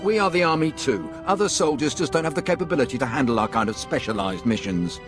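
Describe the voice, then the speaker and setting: An actor playing a tough, confident Imperial commander in a video game, speaking bluntly and emphatically. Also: male voice, medium pitch, lively intonation, fast, music in the background, lightly acted. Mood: confident, persuasive. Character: tough, confident male Imperial special-forces commander, gruffly determined. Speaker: military commander character.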